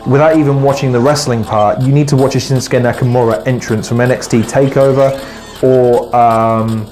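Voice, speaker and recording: A man's voice: mid-range voice, steady tone, normal speed, a little mellow, faint music behind. A man hosting his own podcast alone, rambling through predictions, swearing often and losing his words. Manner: swearing, rambling, with fillers and trailing restarts. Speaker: podcast host. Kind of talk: solo podcast monologue.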